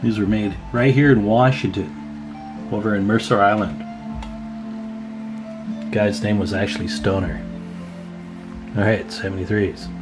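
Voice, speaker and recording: A man's voice: on the low side, fairly even intonation, a little slow, pauses often, music in the background, low-quality recording. A man hosting a radio repair video, explaining hands-on work in a casual, folksy way and chatting informally to viewers.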